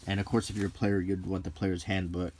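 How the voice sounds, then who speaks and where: A man's voice: low-pitched, little pitch movement, brisk, darkish tone, a little hiss or hum. A middle-aged man talking casually and hesitantly in an unscripted, ASMR-like way, musing as he reviews something. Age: 40s to 50s. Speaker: host of a hobby video.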